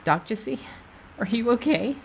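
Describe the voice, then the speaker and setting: A man voicing a shaken, gentle young woman with a hesitant, polite way of talking in a dramatic story reading. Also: very high voice, lively intonation, normal speed, gravelly, slight background noise, voice pushed up, full character voice. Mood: shaken, concerned.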